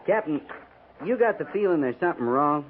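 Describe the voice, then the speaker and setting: An actor playing a dutiful frontier cavalry sergeant with a rural American accent and folksy, deferential dialect in a 1950s radio western. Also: male voice, a little high, lively intonation, a little fast, crowd noise, close to an everyday voice. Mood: uneasy. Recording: tinny old radio recording, background clamor.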